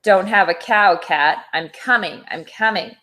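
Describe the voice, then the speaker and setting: A woman voicing a sleepy, reluctant storybook cow in a weary, unhurried manner. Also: medium pitch, a bit bright, lightly acted.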